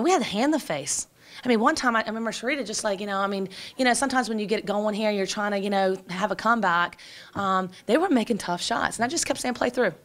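Energetic woman coach at a press conference. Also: mid-range voice. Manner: quick, energetic, many fillers and restarts. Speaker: basketball head coach. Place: press room before a sponsor backdrop. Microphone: table microphone in front.

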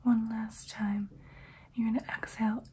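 A woman's voice: on the high side, little pitch movement. Whispering, soothing vampire played by a woman. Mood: calm, guiding. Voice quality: breathy whisper. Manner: slow, gentle, reassuring. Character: soothing, nurturing female vampire. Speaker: ASMR roleplay host. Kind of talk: whispered ASMR personal attention roleplay.

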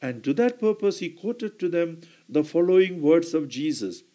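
A man with a high-pitched voice preaches a homily. His delivery is slow and deliberate. He clears his throat now and then and corrects himself mid-sentence. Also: animated, warm tone.

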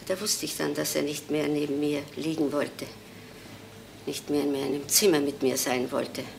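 A wistful older woman in a crime drama, with a fairly low voice. She speaks politely and haltingly, with quiet resignation, over a slightly noisy old soundtrack. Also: gravelly. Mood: hurt, resigned. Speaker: actress in a crime radio drama. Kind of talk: German crime drama acting.

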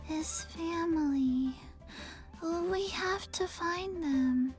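A woman voicing a small undead girl in a video game, deadpan, halting and sleepy. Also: very high voice, exaggerated voice. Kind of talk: video game character acting. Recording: music behind the voice.

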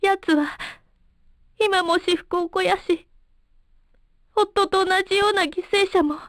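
A wronged, sorrowful wife in a game. She pleads politely in a very high voice, slowly and formally. The recording is dry. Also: fairly even intonation, no echo. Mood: pleading, sorrowful. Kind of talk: Japanese fighting game voice acting.

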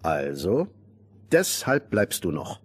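Gruff, husky miller father. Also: sits low, huge pitch swings, slow pace, exaggerated voice. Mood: wry. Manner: gruff, wry, understated. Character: dry, practical, fond miller father. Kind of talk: radio play fairy tale acting.

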